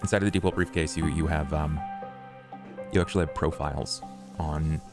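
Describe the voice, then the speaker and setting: A man with a gravelly voice doing improvised tabletop roleplay and narration in a measured, halting delivery. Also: rumbling low, fairly even intonation, over music. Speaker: player in a tabletop roleplay podcast.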